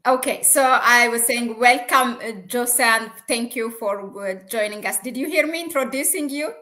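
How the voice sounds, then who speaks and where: A woman hosting a discussion interview, putting questions in a halting, roundabout way. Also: fairly high pitch, sharp tone. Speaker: interview host.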